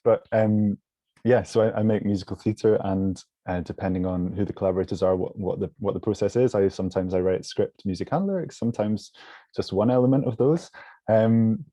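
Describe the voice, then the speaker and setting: A man's voice: on the low side, mellow, no echo. A young man, a composer, introducing himself on a video call in a hesitant way, restarting phrases. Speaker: composer and songwriter. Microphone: headset on a video call. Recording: dry, dull video call audio.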